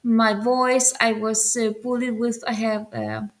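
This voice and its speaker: Young woman, light voice, talks slow and flat, lots of uh and um, english not her first language. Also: a little high. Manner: hesitant, searching for words. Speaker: guest musician. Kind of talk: interview answers.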